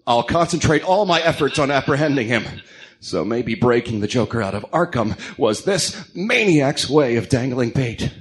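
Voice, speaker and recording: A man's voice: medium pitch, close to an everyday voice. A man playing a brooding masked vigilante detective, terse and serious, in an amateur radio drama.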